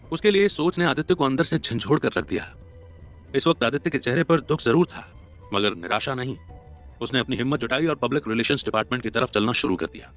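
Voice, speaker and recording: A male storyteller reads a scripted drama in a medium-pitched voice. He tells it as an audio story, with background music under his narration. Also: a bit bright. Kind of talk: scripted dramatic story narration in Hindi mixed with English words.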